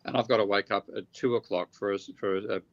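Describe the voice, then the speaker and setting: Tired, gravelly man on a dull call. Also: fairly low pitch, steady tone. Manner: halting, thinking aloud with restarts. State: tired, voice getting croaky. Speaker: guest economist. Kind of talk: explaining ideas in a live online discussion. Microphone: video call.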